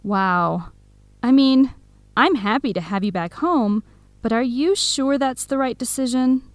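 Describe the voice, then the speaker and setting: A woman's voice: fairly high pitch, unhurried, dry recording, close to an everyday voice. A woman playing a supportive, frank young best friend in a radio drama, with a direct, encouraging, conversational manner. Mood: concerned. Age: young adult. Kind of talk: scripted radio drama dialogue.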